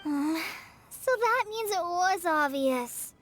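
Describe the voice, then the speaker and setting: A woman's voice: extremely high pitch, expressive, takes it slowly, fairly bright tone, faint music behind, heavily acted. A woman voicing an anxious, self-doubting teenage girl ranger trainee in a video game, with a hesitant, earnest manner. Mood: troubled, confiding.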